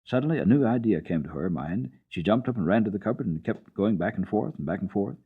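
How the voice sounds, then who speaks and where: A man's voice: on the low side, lively intonation, quick pace, slightly rough. A man giving a dramatic, folksy reading of a children's book as a radio storyteller, on a muffled archival recording. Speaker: storyteller, radio program host.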